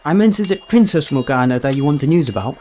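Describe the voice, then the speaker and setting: A man's voice: a little high, expressive, quick pace, mellow, faint music behind, lightly acted. A man playing an earnest, muddled underling to a knight in a comic radio play, hesitant, literal and rambling. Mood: earnest, confused.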